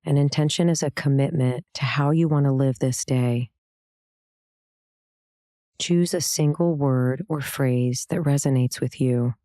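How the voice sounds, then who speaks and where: A woman leading a guided meditation, giving calm, gentle, soothing instructions with pauses between phrases. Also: rumbling low, no echo, studio quality. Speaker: meditation guide, yoga teacher.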